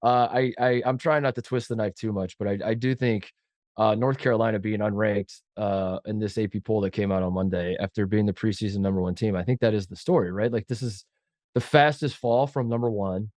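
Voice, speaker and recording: A man's voice: lowish voice, fairly even intonation, fairly quick, a little mellow, no echo, studio quality. A man co-hosting a sports podcast while fighting a head cold, sounding nasal as he rambles and jokes at his own expense. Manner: rambling, joking, self-mocking. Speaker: podcast co-host. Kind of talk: casual sports chat.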